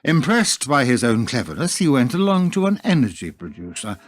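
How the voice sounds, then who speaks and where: A man playing a wry, philosophical storyteller, narrating a radio play with deliberate, rhetorical phrasing. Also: medium pitch, sing-song, dry recording, clean studio sound, soft background music, close to an everyday voice.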